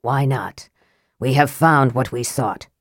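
A woman voicing a cold, clever young male wizard who speaks low, dry and curt. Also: takes it slowly, no room sound, heavily acted. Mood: cool.

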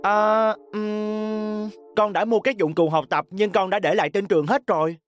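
A woman's voice: medium pitch, level tone, normal speed, soft background music, close to an everyday voice. A woman voicing a naive, eager young wooden puppet boy in a children's storybook cartoon. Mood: evasive.

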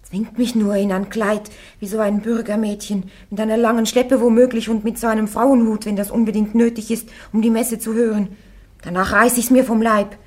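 A woman's voice: fairly high pitch, level tone, moderate pace, a little mellow, natural voice. An actress playing a young peasant woman on trial before churchmen, answering them stubbornly and plainly. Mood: defiant. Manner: stubborn, plain-spoken and pleading. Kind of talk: radio play acting.

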